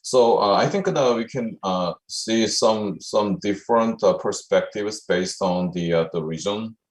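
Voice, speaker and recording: A man chairing a conference session, summarizing the discussion hesitantly with many pauses and restarts. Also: lowish voice, roomy sound.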